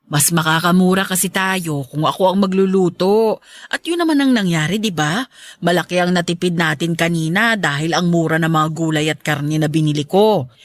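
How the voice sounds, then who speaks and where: A woman's voice: fairly low pitch, normal speed, no echo, close to an everyday voice. A woman playing an aging, protective and stubborn but loving Filipino mother in a radio drama, chatty and firm in a motherly way. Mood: caring, chatty.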